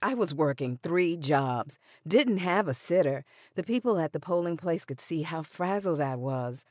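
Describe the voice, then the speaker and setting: An actress playing a dignified, sentimental older woman in a radio drama, speaking deliberately. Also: sits low, lively intonation, darkish tone, dry recording, clean studio sound. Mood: warm, reminiscing.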